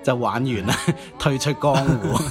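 A man's voice: medium pitch, unhurried. Male radio host over music. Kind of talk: conversational music history storytelling. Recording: music playing behind the voice.

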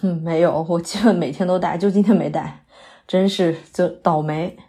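一位女演员在广播剧里饰演刚下戏、略显疲惫的戏曲女演员，语气客气口语化，偶尔迟疑。. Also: female voice, fairly low pitch, fairly even intonation, normal speed, dark tone, natural voice. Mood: flustered, polite. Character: polite, weary female opera performer after a late show. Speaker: stage actress in an audio drama. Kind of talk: naturalistic modern audio drama dialogue.

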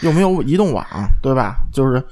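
A man's voice: medium pitch, lively intonation, brisk, warm tone. A man chatting casually and giving opinions as a podcast co-host, emphatic and given to repeating himself.

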